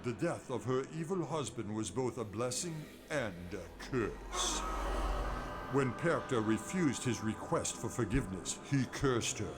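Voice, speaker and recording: An actor playing a theatrical recorded ghost-tour guide in a video game, telling spooky tales in a dramatic, ominous way. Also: male voice, mid-range voice, lively intonation, measured pace, music in the background, close to an everyday voice. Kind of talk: spooky scripted narration.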